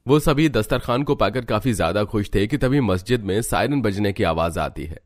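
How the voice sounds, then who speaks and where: A man's voice: lowish voice, moderate pace. A man narrating a scripted cartoon family tale as its storyteller.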